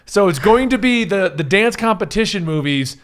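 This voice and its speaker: A man with a voice pitched a little above average co-hosts a list show. He chats casually and jokingly. At times he reads items out to discuss them. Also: animated, normal speed. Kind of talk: casual joking chat with some read-out items.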